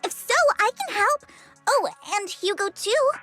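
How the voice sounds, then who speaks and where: Very high-pitched timid little girl. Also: expressive, unhurried, fairly bright tone, soft background music, heavily acted. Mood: eager. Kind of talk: scripted video game voice acting.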